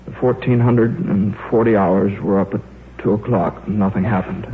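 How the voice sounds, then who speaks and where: An actor playing a shy, stubborn middle-aged scientist and father, speaking haltingly in a 1950s radio drama. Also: fairly low pitch, fairly even intonation, close to an everyday voice. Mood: weary, defeated. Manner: halting, hesitant, repeating himself. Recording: tinny, noisy old radio recording.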